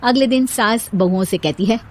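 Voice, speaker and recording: A woman's voice: fairly high pitch, normal speed. A woman narrating a scripted moral bedtime story as the storyteller.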